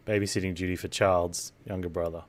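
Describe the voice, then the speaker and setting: A man's voice: sits low, level tone, fairly quick, faint music behind. A man streaming a game with casual commentary, given to dry, muttered asides.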